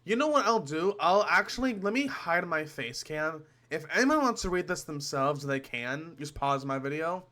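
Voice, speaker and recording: Bright, higher-voiced young man reacting casually. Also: animated, normal speed, hardly any pauses. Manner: joking, sweary, musing aloud. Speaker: reaction video streamer.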